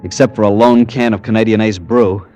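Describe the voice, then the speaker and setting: A man's voice: on the low side, steady tone, brisk, mellow, a little hiss or hum, natural voice. An actor playing an anxious, insistent young man searching for his missing girlfriend, in old-time radio drama style. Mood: tense narrating. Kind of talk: old-time radio drama acting and first-person narration. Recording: vintage radio drama with background music.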